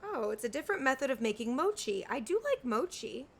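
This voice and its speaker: A woman's voice: high-pitched, big pitch swings, a little fast, slightly rough. A woman game streamer giving casual live commentary as she plays. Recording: game music behind the voice.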